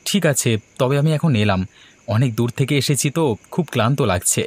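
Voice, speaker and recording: An actor playing a polite, uneasy young man visiting a village in an animated horror story. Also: medium pitch, fairly quick, natural voice.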